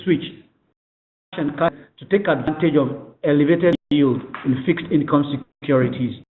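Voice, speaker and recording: Male executive, slightly high voice, formal and halting scripted presentation over a thin phone conference line. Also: slight background noise. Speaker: company executive presenting financial results. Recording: tinny, echoey telephone conference line.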